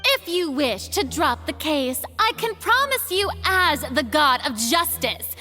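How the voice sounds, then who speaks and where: Anime game goddess, haughty and dramatic, high bright voice, big swoops. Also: female voice, sing-song, unhurried, faint music behind, exaggerated voice. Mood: haughty, theatrical. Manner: grandiose, dramatic, declamatory. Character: theatrical, haughty young goddess on trial as defendant.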